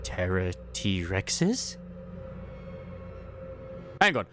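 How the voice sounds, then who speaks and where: Male fan dubber, lively and expressive game narrator, light music underneath. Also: low voice, drawn-out words. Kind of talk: dramatized first-person story narration of a game.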